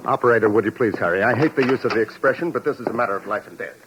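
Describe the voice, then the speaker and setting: An earnest doctor from a 1950s radio play , acted by a man, speaks low and quickly. He is polite but insistent, with urgency under his orderly wording. The recording has an old radio sound. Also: dull audio, very noisy, natural voice. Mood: urgent. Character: earnest, urgent male doctor, detective's helpful friend. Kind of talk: 1950s radio drama acting.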